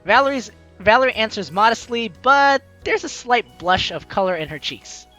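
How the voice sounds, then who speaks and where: A man doing a casual let's-play, reading story text aloud with chatty, expressive asides. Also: high-pitched, normal speed. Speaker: game streamer. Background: game music.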